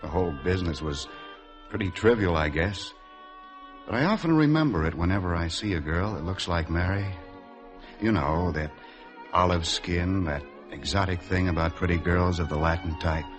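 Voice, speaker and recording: A man's voice: low-pitched, normal speed, lightly acted. An actor playing an easygoing, reflective man who narrates a 1950s radio drama in a wry, conversational way. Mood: wry reminiscence. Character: Mac, easygoing, reflective man recalling a summer acquaintance. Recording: 1950s radio broadcast with music underneath.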